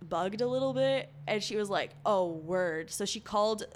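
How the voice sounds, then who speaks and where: A woman's voice: medium pitch, expressive, normal speed, a little hiss or hum. A young woman whose first language is Hebrew, a podcast guest telling personal stories in a frank, joking way and acting out quoted voices. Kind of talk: casual candid chat.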